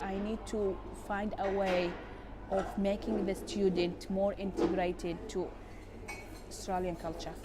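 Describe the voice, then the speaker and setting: A woman who learned English later in life, a high school teacher speaking earnestly in an interview, with hesitations and non-native phrasing. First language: not English. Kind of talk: reflective interview testimony. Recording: clear background noise.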